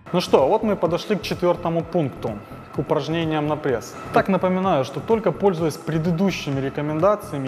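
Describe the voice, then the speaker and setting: A man in his thirties, a fitness trainer, explaining ab exercises in a gym on a lapel mic, pausing with fillers. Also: fairly high pitch. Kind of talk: gym workout tutorial. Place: large indoor gym. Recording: echoey gym with music behind.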